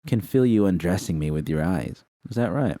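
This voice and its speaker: A man reading a fan story voices a sly, teasing young woman mercenary, raising his pitch for her. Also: animated, moderate pace, dark tone, no echo, studio quality.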